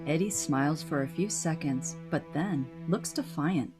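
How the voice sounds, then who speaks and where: A woman's voice: low-pitched, measured pace, darkish tone. A woman reading scripted narration for a radio play. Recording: music behind the voice.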